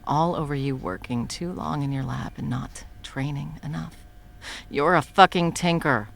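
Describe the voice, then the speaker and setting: A woman voicing a scheming female supervillain who swears and often drops to a soft whisper, in a one-sided audio roleplay. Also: sits low, moderate pace, vocal fry, slight background noise. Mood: scornful, scheming.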